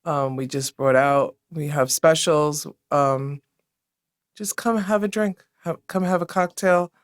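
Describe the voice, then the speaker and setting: A woman's voice: low-pitched, slow pace, dry recording, studio quality. A woman appearing as a podcast guest for a restaurant, talking in a friendly, upbeat, promotional way. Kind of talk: casual interview chat.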